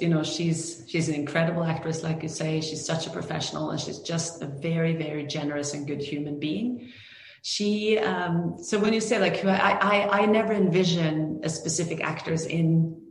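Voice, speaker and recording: A woman's voice: fairly low pitch, slight background noise. A Norwegian woman, a film director, answering interview questions thoughtfully with many restarts and fillers. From Norway. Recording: echoey room.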